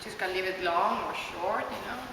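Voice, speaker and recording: A woman from Peru, a business owner giving casual, somewhat halting interview answers about her fashion line. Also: medium pitch. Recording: echoey, noisy hall from far off.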